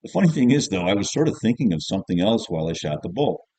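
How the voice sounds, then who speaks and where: A man's voice: on the low side, sing-song, fast, mellow, dry recording. A man reading as a jaded, cynical teenage schoolboy, narrating an audiobook in the first person in a colloquial, grumbling, offhand way. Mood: musing.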